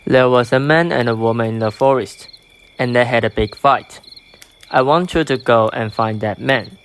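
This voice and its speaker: Fairy king for radio play, female performer: very deep, authoritative, faint night-insect noise behind. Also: normal speed. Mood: commanding. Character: Oberon, commanding male fairy king giving orders. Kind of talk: amateur student radio drama acting.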